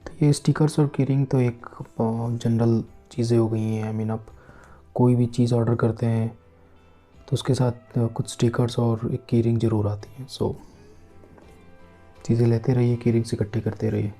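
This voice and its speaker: A man giving casual unboxing commentary as a product vlogger, speaking haltingly in short broken phrases. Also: medium pitch, level tone, dark tone. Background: background music.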